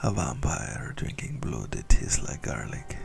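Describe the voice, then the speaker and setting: A man voicing a possessive, centuries-old vampire lover in a whispered ASMR roleplay, smugly menacing and intimate, with a breathy, gravelly delivery. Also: rumbling low, sing-song, a little slow, faint music behind, full character voice. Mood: amused.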